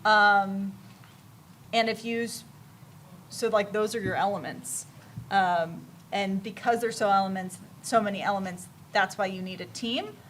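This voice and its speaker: A woman's voice: medium pitch, fairly even intonation, measured pace, a bit bright. Woman giving informal panel advice, noisy ambience. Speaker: panelist, animation creator. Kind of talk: informal explanatory panel talk. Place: live convention panel. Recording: noticeable ambient event noise.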